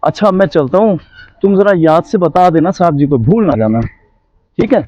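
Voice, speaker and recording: A man's voice: high-pitched, sing-song, warm tone. A man acting as an honest, respectful household servant in an amateur moral skit. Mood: urgent. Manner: earnest, deferential. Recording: dull lo-fi sound, faint noise.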